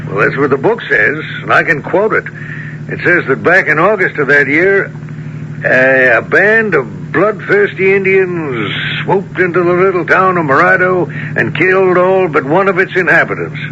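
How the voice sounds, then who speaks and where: An actor playing a folksy, affable police officer with a gravelly voice, easygoing, in a radio-drama style. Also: male voice, on the high side, lively intonation, moderate pace, lightly acted. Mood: storytelling. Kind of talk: 1970s radio drama acting. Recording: muffled old radio recording, clear background noise.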